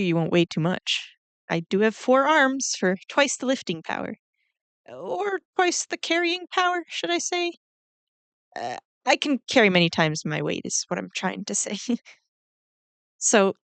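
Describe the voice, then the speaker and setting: A woman voicing a kindly, flustered giant ant queen in a roleplay audio drama, speaking gently and hesitantly to the listener. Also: fairly high pitch, no room sound, heavily acted. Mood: reassuring, amused. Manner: gentle, hesitant, rambling with small nervous laughs.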